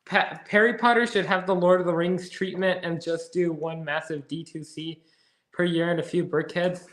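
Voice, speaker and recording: A man's voice: high voice, fairly even intonation, normal speed, a bit bright, reverberant. A man hosting a casual podcast, chatting and reading out viewer comments. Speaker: podcast host reading live chat. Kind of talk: casual chat.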